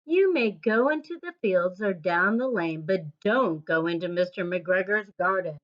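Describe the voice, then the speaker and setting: A woman reads as a motherly old storybook rabbit, cautioning in a gently firm, careful way. The speech is very slow and the sound is dull and lo-fi. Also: on the low side, lively intonation. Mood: gently warning. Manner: careful, admonishing. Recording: dull lo-fi recording.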